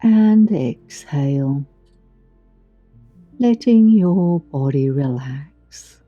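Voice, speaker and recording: Female meditation guide, lowish pitch, soothing and unhurried, gentle pauses, dull dry recording over music. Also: animated, dry recording. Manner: soothing, gentle, deliberate delivery with long pauses. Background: background music.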